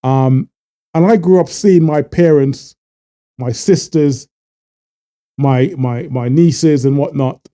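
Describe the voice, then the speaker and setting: A man from Trinidad, talking earnestly to camera and halting often with fillers as a vlogger. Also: on the high side, warm tone. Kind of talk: casual talk to camera.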